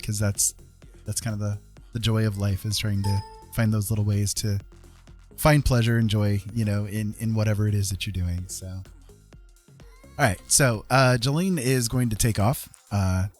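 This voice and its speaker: A man livestreaming in a casual, rambling and gentle way. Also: fairly low pitch, steady tone, moderate pace. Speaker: live streamer and artist. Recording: music playing behind the voice. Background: music.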